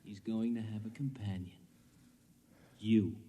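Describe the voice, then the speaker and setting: An actor playing a smug, cold, scheming villain in a 1950s radio drama. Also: male voice, fairly low pitch, fairly even intonation, measured pace, mellow, husky. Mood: smug menace. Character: smug, scheming male villain holding a gun. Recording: reverberant 1950s radio recording.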